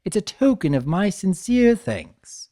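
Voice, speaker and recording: Man doing a high, theatrical, mischievous trickster creature. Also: very animated, a little slow, mellow, heavily acted. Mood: flippant. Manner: theatrical, teasing delivery. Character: mischievous male draconequus trickster, flippant, taunting, theatrical. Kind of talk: dramatic fan fiction reading.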